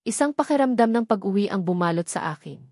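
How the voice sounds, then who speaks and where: A woman with a Filipino accent reading a scripted first-person dramatic story in an earnest, measured storytelling manner. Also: mid-range voice, expressive, darkish tone, no echo, studio quality. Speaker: story narrator.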